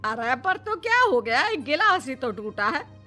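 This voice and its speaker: A woman's voice: high voice, sing-song, a little slow, pushed and bright, soft background music, pitched above the performer's own voice, exaggerated voice. A woman playing a grumbling, defiant middle-aged mother who speaks bluntly in a moral story.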